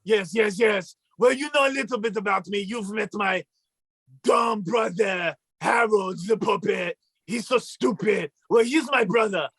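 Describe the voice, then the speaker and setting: A man voicing a boastful mustached male puppet who shouts and brags like a cartoon villain, with a gravelly, husky voice. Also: high-pitched, unhurried, no room sound, heavily acted. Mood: bragging. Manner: shouting, bragging, mock-villainous. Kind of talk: comedic puppet bit on a talk show.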